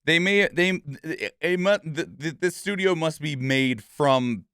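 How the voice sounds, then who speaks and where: A man's voice: on the high side, measured pace, a bit bright, clean tone, no room sound, clean studio sound. A man hosting a reaction channel with casual commentary, rambling and stammering as he thinks aloud.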